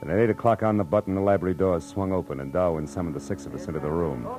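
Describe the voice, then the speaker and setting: A man playing a wry, hard-boiled private detective in a 1940s radio drama, narrating in a sardonic deadpan. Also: low voice, racing, music in the background, lightly acted. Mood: wry narration. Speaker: lead and narrator. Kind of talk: 1940s radio acting, first-person narration. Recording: vintage 1940s radio recording.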